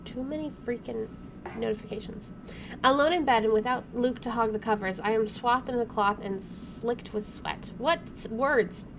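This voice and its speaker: Expressive woman playing games over audible noise. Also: fairly high pitch, moderate pace. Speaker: let's play host. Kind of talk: reading game narration aloud with casual commentary. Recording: clearly audible background noise.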